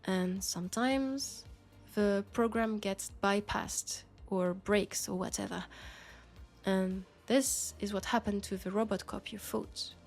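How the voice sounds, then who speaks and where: A woman with a French accent playing a grave, caring futuristic policewoman in an intimate sci-fi audio roleplay, speaking in measured short phrases. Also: medium pitch, steady tone, creaky, faint music behind. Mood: somber, explaining.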